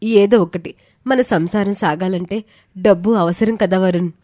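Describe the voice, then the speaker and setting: A woman's voice: medium pitch, unhurried, dark tone, no room sound, studio quality, close to an everyday voice. A woman playing a worried young wife in a story, earnest, pleading and reproachful.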